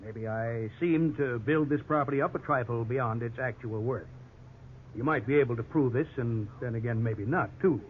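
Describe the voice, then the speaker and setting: A man's voice: mid-range voice, normal speed, natural voice. A man with an American accent playing a defensive, conciliatory real estate agent in a 1950s radio drama, formal and self-justifying. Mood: reluctant, hedging. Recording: tinny, noisy 1950s radio recording.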